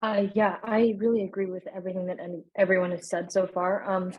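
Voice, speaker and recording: Flat-voiced teen girl student. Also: medium pitch, fast talker, highs cut off. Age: teens. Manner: chatty, many likes. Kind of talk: panel testimonial. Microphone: video call.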